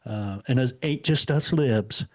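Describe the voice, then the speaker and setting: A man's voice: mid-range voice, a little slow. A man in his sixties, a retired guest, talking about himself in an easygoing, rambling way with lots of fillers. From Indiana. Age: 60s. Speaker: podcast guest. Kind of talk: casual interview chat.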